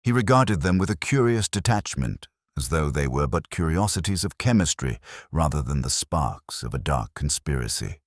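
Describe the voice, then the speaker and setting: A man reading a first-person detective story as narrator, in a formal, measured storytelling manner. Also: a bass voice, big pitch swings, no room sound, studio quality. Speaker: audiobook narrator.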